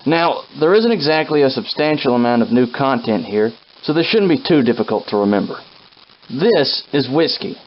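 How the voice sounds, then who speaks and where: Guy doing a folksy old timey soldier sidekick for a video game, mid pitched, plucky but rattled, kinda muffled lofi audio. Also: slight background noise, close to an everyday voice. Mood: jaunty, instructing. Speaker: game character companion. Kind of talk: scripted video game character acting, old-time dialect.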